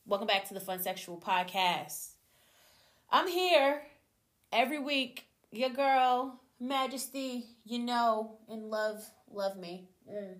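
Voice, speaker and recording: A young adult woman hosting her own podcast, talking casually and animatedly to her listeners, her voice slightly hoarse from a cold. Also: medium pitch. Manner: chatty, animated, addresses listeners as y'all. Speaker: podcast host. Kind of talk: casual solo podcast chat.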